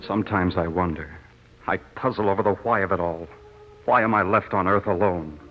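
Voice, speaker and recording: An actor playing a lovestruck, haunted young returned soldier in an old radio drama, earnest and melodramatic. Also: male voice, low-pitched, brisk, soft background music, lightly acted. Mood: brooding, devoted. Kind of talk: 1940s radio drama acting. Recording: muffled, hissy old radio recording.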